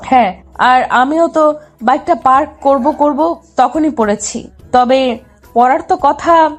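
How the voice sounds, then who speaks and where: A woman's voice: high voice, slow. A woman playing a headstrong, lovesick young woman who pleads insistently and pouts in a dramatised love story. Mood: cheerful, defensive. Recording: music behind the voice.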